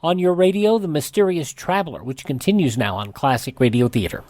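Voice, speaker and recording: A man hosting a radio show, reading scripted narration and news items in a deliberate announcer style. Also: a little high, darkish tone.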